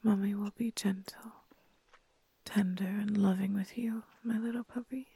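A woman voicing a doting, motherly female creature with wings and a tail, in a soft, breathy, soothing whispered ASMR roleplay. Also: mid-range voice, steady tone, normal speed. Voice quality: breathy, husky.

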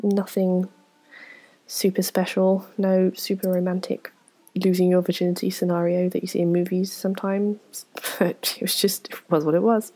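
A woman, answering viewer questions in a frank, self-deprecating, rambling way, full of ums and soft whispered asides. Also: on the low side, flat delivery, moderate pace. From England. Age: 20s. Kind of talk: casual Q&A chat to camera.

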